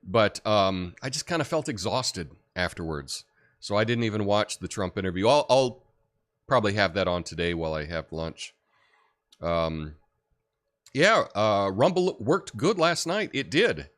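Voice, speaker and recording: A deep-voiced man hosting a livestream, chatting casually and reading viewer comments with lots of fillers. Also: unhurried. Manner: rambling, relaxed, many fillers and restarts. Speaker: livestream host.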